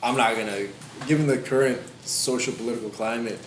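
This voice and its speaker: Fast-talking man in a roomy podcast. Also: mid-range voice, fairly even intonation. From Philippines. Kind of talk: casual podcast chat. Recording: roomy echo, background noise.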